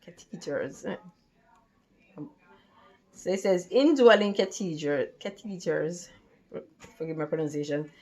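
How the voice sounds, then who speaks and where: A woman tutor reading study material aloud in a careful, halting way, with stumbles and repeated words. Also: on the low side. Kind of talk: read-along lesson from a textbook.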